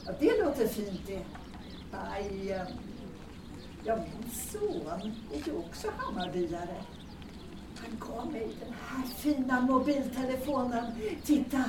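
A woman's voice: a little high, big pitch swings. A woman playing a chatty, kindly older mother in an audio drama, rambling and repeating her questions. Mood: chatty, proud. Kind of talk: naturalistic audio drama acting. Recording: echoey, distant, noisy with birds.

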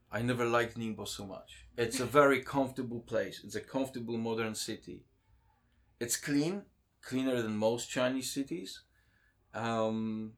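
A man, a guest in a casual interview who talks with many pauses, ums and restarts. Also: medium pitch. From Poland.

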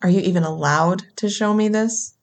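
An actress playing a wry, jaded government enforcer in an audio drama, sardonic and casual. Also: female voice, mid-range voice, normal speed, natural voice. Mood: amused, conspiratorial. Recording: clear background noise, ambience.

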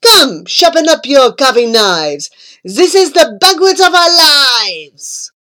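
A woman doing a loud greedy mob of townsfolk. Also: high voice, sing-song, slow, heavily acted. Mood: greedy shouting. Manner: loud, shouting, gleeful.

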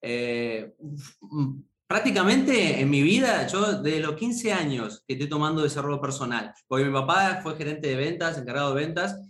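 A man speaks as a podcast guest in an echoey room. His voice sits slightly high for a man, and he answers with enthusiasm and strong emphasis. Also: expressive, moderate pace, a bit bright. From Argentina. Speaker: podcast guest, motivational entrepreneur. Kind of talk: conversational interview answers. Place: remote call from a room.

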